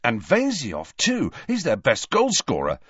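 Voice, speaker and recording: Storybook role: nervous, grumbly teen lad played by a man, lively intonation, slightly dull recording. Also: mid-range voice, unhurried, breathy edge, no room sound, pitched above the performer's own voice, exaggerated voice. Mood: slightly perkier. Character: moody, nervous teenage schoolboy athlete, easily startled.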